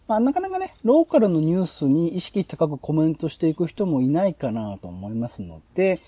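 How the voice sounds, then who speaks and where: A man's voice: medium pitch, dark tone, slightly rough, no room sound. A man hosting a podcast planning chat, explaining his ideas as he thinks aloud. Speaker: podcast host presenting program ideas. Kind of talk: casual planning-meeting talk.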